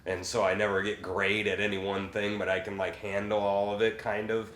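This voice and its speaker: Male host, low voice, rambling chatty delivery, roomy recording. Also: level tone, a little fast, fairly bright tone, a little hiss or hum. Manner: rambling, frequent fillers and restarts. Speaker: podcast host and fiddle player. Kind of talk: casual interview chat. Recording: roomy with faint ambience.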